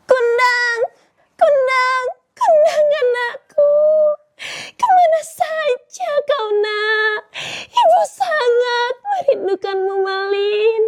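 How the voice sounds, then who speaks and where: A woman's voice: very high-pitched, slow, pitched above the performer's own voice. A girl voicing a poor old mother who sobs and pleads for her lost son. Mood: tearful pleading. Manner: sobbing, pleading.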